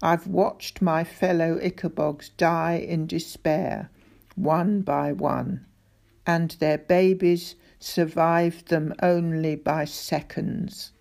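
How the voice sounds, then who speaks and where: Woman putting on a slow deep monster. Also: fairly even intonation, vocal fry, a little mellow, heavily acted. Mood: solemn. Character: huge gentle old monster, slow and solemn.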